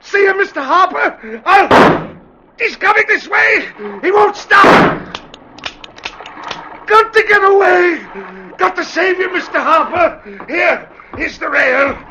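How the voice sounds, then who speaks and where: An actor playing a guilt-crazed, haunted ship captain, pleading and raving in melodramatic old-time radio drama style. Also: male voice, extremely high pitch, expressive, takes it slowly, heavily acted. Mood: frenzied. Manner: frantic, rambling, melodramatic. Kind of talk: 1940s-50s radio drama acting. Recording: dull, noisy vintage radio recording.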